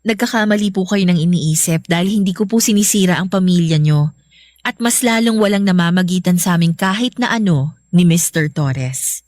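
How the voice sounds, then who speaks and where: A woman's voice: on the low side, moderate pace, a little mellow, dry recording, close to an everyday voice. A woman playing an earnest, guarded young schoolteacher in a radio drama, speaking with polite restraint. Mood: defensive, hesitant.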